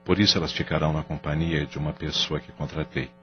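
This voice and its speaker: A man's voice: a bass voice, steady tone, fast, noisy background. An actor playing a remorseful, guilt-ridden husband and father who speaks in a weary, pleading way. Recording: dull lo-fi audio with faint music.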